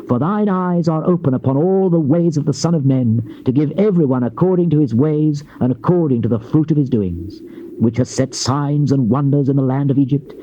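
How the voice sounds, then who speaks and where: An actor playing a fervent, solemn Old Testament prophet in a theatrical scripture drama, with grave, declamatory and measured archaic delivery. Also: male voice, medium pitch, mellow, close to an everyday voice. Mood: reverent, awed. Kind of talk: dramatized King James scripture reading, theatrical. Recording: muffled old cassette tape with hiss.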